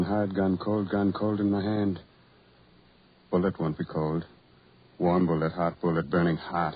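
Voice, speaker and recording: A man's voice: low voice, husky. An actor playing a tormented man mocked all his life as the ugliest man in the world, muttering a broken inner monologue in 1940s radio acting. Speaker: narrator-protagonist. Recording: muffled 1940s radio recording.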